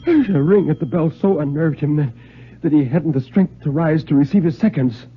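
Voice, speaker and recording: A man giving a theatrical, deliberate dramatic story reading with precise diction, in 1950s radio style. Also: fairly high pitch, husky. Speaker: dramatic reader on a radio show. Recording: muffled vintage radio recording, music.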